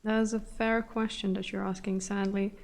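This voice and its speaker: A woman whose first language is Latvian chats with viewers in a relaxed, playful way. She answers their questions with wry humor and little chuckles. Her voice sits mid-range and carries a gravelly edge of fry. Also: mid-range voice, moderate pace, slight background noise. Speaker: painting streamer.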